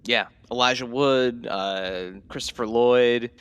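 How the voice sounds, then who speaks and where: A man co-hosting a podcast in casual chat, speaking haltingly and often repeating his words. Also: medium pitch, fairly even intonation, a bit bright, clear, resonant tone. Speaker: podcast co-host.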